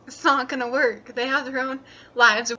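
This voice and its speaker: A woman's voice: high voice, steady tone, normal speed, bright, forceful tone. A woman giving an informal opinion on dull lo-fi audio with faint noise. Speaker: video maker defending game developers.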